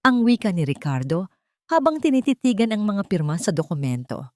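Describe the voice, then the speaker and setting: A woman narrating a scripted dramatic story in a solemn, deliberate storytelling manner. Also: medium pitch, big pitch swings, no echo. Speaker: audiobook narrator.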